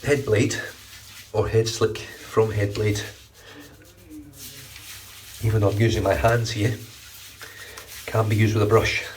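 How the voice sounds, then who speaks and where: A man's voice: on the low side, slow pace, pauses often, far from the mic, slight background noise, rough recording. Congested, nasal man filming his shave. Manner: chatty, relaxed, swears casually. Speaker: shaving vlogger. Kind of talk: casual how-to commentary. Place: small bright bathroom at home. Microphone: phone front camera at arm's length.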